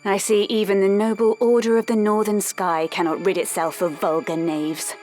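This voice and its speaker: A woman's voice: mid-range voice, fairly even intonation, normal speed, close to an everyday voice. An actress playing a haughty female knight in a fantasy game, commanding and formal in archaic speech, over music. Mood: indignant command. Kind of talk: dramatic fantasy game voice acting. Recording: game clip under loud music.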